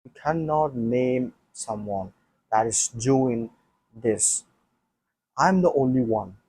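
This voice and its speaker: Non-native English male, husky mid voice, self-talk to camera, repeats and restarts a lot. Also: mid-range voice, expressive, slow pace, a little mellow. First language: not English. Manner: thinking aloud with many restarts and repeats. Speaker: language learner. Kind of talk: casual solo talk to camera.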